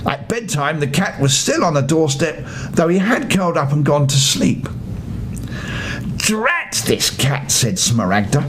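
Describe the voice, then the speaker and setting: An older man reading a children's bedtime story with expressive narration. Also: moderate pace. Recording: faint rumbling background noise.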